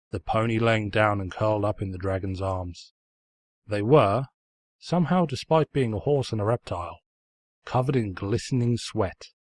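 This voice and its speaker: A man's voice: lowish voice, no room sound. A man reading fan fiction aloud as scripted story narration, in a pompous, snide storyteller's manner.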